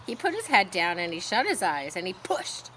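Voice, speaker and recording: A woman reading a children's story aloud expressively, pausing to explain words in simple asides. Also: lowish voice, big pitch swings, sharp tone, slight background noise, poor audio. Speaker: storybook reader.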